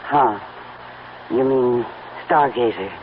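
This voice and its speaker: A woman's voice: very deep voice, slow. Young woman in an old radio play, stubborn n earnest, strained, thin hissy sound. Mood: firm, guarded. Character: grieving, stubborn young woman guarding her troubled horse. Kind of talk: old-time radio drama acting. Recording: tinny band-limited old radio recording with hiss.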